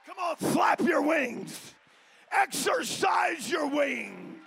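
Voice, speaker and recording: A pastor preaching a fiery Pentecostal sermon in a church, shouting as he urges the congregation to praise. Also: very high voice, expressive, extremely slow, vocal fry. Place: church sanctuary.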